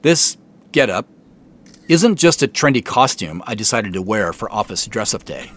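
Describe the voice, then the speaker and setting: An actor playing a contrite middle-aged company founder and CEO, speaking in a measured, formal way with deliberate pauses in an audio drama. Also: male voice, lowish voice, very animated. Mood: contrite, resolute. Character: earnest, contrite male company founder and CEO addressing staff. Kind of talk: naturalistic audio drama acting.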